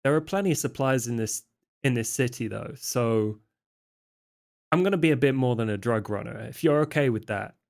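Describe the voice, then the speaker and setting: A man playing an awkward, guarded young vampire drug dealer, speaking casually and haltingly in an improvised roleplay game. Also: medium pitch, animated, vocal fry. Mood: negotiating. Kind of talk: improvised in-character roleplay dialogue.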